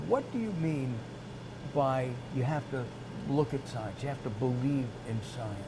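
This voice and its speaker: A man's voice: mid-range voice, lively intonation, a little slow. Male series host, conversational questioning with stop-and-start delivery, noisy recording. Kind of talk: hosted interview, conversational questions.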